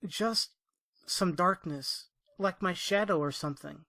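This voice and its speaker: Woman voicing a high-pitched, timid young unicorn colt. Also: expressive, measured pace, dry recording, clean studio sound. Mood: evasive. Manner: hesitant, earnest. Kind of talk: cartoon audio drama acting.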